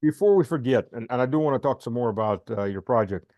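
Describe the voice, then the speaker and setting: A man's voice: mid-range voice, animated, fast, darkish tone, no echo, clean studio sound. Blunt, emphatic man on a video call. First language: Russian. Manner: blunt, emphatic, repeats himself. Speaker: podcast host. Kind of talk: casual interview chat.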